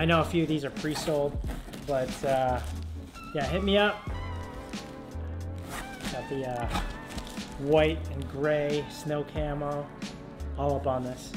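A young man in his twenties chatting casually in a vlog, laid-back and rambling, with many fillers, filmed at a desk at home. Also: on the high side. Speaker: vlogger. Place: dim room at home, indoors. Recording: music playing behind.

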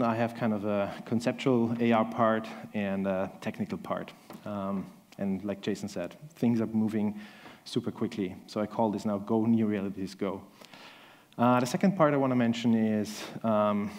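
A man's voice: on the low side, a little mellow. A man in his thirties from Austria with an Austrian German accent, giving a chatty, self-deprecating conference talk on a large stage, with many ums. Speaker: conference speaker.

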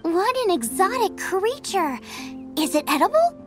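An eager young girl chef from a video game, with a very high voice that bounces around in pitch. She is cheerful, food-obsessed and breezy, with music playing behind her. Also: very animated, a little slow, exaggerated voice. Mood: curious, friendly. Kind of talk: scripted game dialogue.

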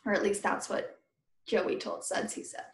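An American woman in her thirties reading a children's book aloud as a teacher, pausing to explain and ask listeners questions in simple words. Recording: roomy, echoing webcam recording.

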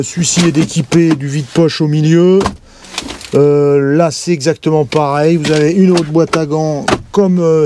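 A man giving a casual, halting walkthrough of a camper van, full of fillers as he points things out, recorded inside the van on a body-worn camera. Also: fairly high pitch, noisy background. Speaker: vlogger touring a camper van.

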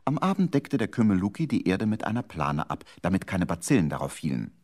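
A man's voice: sits low, very animated, fairly quick, over music. A man narrating a children's radio play as a scripted storyteller.

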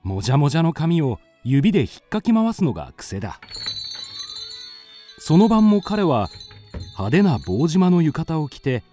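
A man's voice: on the high side, big pitch swings, measured pace, long pauses, warm tone, soft background music. An actor playing a curious man in his twenties who narrates a mystery radio drama. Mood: brooding, measured.

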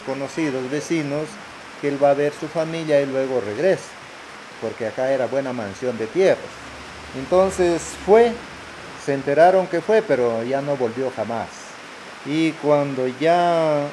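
An older man with a Peruvian accent gives an oral testimony. He tells his recollections haltingly, and there is clear hiss like running water over the recording. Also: a little high. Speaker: villager giving testimony. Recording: hissy field recording, rushing water noise.

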